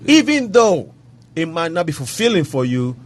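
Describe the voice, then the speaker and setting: A man's voice: a little high, sing-song, normal speed. A man from Ghana hosting a casual panel discussion, chatty and often finishing other people's sentences.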